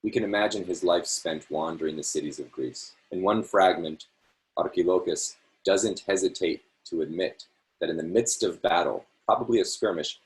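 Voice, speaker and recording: Man, very deep voice, reading an essay aloud, measured phrasing, video call. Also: rough recording. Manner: measured, phrase-by-phrase delivery with small self-corrections. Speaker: reader hosting a reading series.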